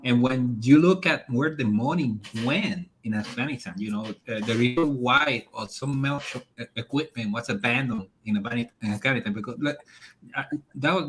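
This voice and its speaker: A man from the Dominican Republic with a Dominican Spanish accent, speaking as a guest in a casual political discussion in a halting, conversational way. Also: medium pitch, fairly bright tone, slightly rough. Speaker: guest on a talk show.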